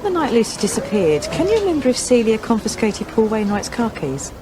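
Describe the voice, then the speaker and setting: Audio drama homicide detective: focused questioner, very varied pitch, clear background noise. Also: female voice, big pitch swings, fairly quick. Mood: probing. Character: probing female homicide detective, focused and direct.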